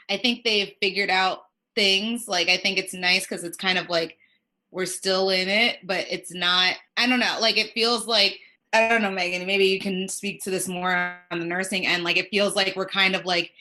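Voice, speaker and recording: A woman's voice: medium pitch, normal speed. A woman working as a child life specialist, chatting casually and rambling with lots of "like" fillers. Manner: rambling, heavy use of "like", quoting others in lively voices. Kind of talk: casual conversation on a podcast.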